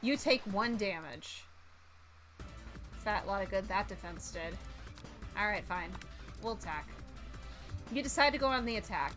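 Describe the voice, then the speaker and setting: A woman streamer doing a playful let's-play, reading game text aloud and reacting with animated exclamations. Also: mid-range voice, unhurried, long pauses, soft background music.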